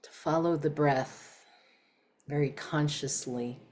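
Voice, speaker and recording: A woman leading a guided meditation in a calm, unhurried, soft-spoken way with long pauses. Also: deep voice.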